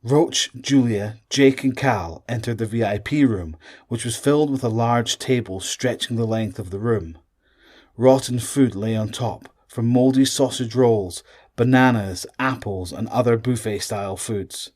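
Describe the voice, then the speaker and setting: A man's voice: mid-range voice, unhurried, darkish tone. A man giving scripted, dramatic narration for a story.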